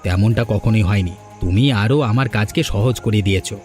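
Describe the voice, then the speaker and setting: A man's voice: on the low side, fairly quick, darkish tone, soft background music, lightly acted. A man voicing a vengeful, scheming village fisherman who plots a beating in a cartoon. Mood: plotting. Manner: plotting, menacing. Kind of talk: cartoon voice acting.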